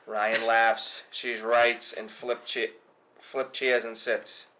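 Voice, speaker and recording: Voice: mid-range voice, little pitch movement, slow, sharp tone. A reader narrating scripted stage directions at a table read.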